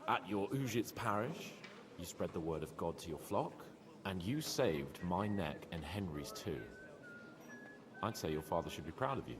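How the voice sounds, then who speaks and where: A man's voice: deep voice, moderate pace, a crowd in the background. An actor playing a cocky, jovial medieval nobleman in a video game, teasing and wry, fond of pleasure. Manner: teasing, animated. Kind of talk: video game dialogue acting.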